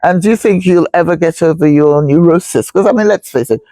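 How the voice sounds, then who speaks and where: Hoarse man talking from afar in echo. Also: on the high side, brisk, mellow. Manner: candid, self-deprecating, chatty. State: hoarse, clearing throat. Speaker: host. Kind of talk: casual chat and viewer shout-outs. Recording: roomy echo, far from mic, faint noise.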